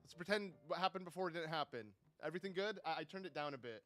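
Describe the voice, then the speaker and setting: A man's voice: fairly high pitch, fast, a bit bright, faint music behind. A young man casually commenting on a live game stream, rambling and correcting himself with little chuckles into a desk microphone.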